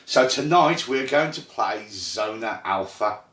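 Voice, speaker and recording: A man from Ireland with a Birmingham accent, casually hosting a tabletop game night and explaining the rules in a halting, self-deprecating way with plenty of fillers. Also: on the low side, expressive, fairly bright tone. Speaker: host and game runner. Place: indoor room at a gaming table. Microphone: phone. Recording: echoey room on phone mic.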